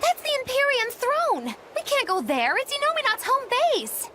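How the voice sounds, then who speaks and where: A woman's voice: very high-pitched, huge pitch swings, normal speed, bright, forceful tone, a little hiss or hum, heavily acted. A woman playing a smug, flamboyant young witch in a fantasy video game, speaking dramatically. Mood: excited, dramatic. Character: theatrical, smug, gifted young female witch. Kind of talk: animated fantasy game acting.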